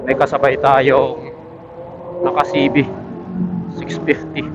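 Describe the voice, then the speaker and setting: A man's voice: medium pitch, steady tone, takes it slowly, vocal fry, over loud music. A man chats casually and in a friendly way to his viewers as a motorcycle vlogger, speaking into a helmet intercom while riding. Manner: friendly, addressing viewers with shoutouts. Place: outdoors on a motorcycle in evening city traffic. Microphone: helmet intercom linked to action camera. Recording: muffled audio with traffic and engine noise. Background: vehicle and street noise.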